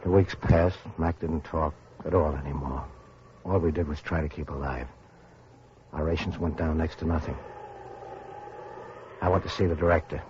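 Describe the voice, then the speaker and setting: An actor playing a weary, earnest survivor of atomic war, narrating haltingly in an old-time radio drama style. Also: male voice, very deep voice, steady tone, a little mellow, slight background noise. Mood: somber. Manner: halting, hesitant, repeating words. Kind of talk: 1940s-50s radio drama acting and narration. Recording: dull old radio recording, music behind.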